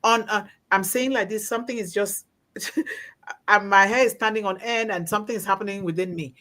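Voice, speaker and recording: A woman's voice: mid-range voice, fairly even intonation, a little fast, pushed and bright. A woman from Africa hosting a discussion, speaking in an impassioned, informal way and repeating phrases for emphasis. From born and raised in Africa. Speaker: host of a discussion. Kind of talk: impassioned informal storytelling and exhortation.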